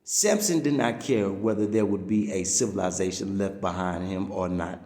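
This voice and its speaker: A man with a fairly low voice reads a written message aloud like a preacher. He leans on key words and stretches them out for emphasis. The recording is roomy, with a clear echo around his voice. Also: moderate pace, a little mellow. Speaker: reader reading a text aloud. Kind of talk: read-aloud of a religious-political message, preaching delivery.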